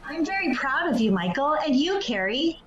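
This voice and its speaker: An echoey, dull recording with a crowd behind has a woman acting a proud, tender mother in a radio drama. Her voice is fairly high. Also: very animated, moderate pace.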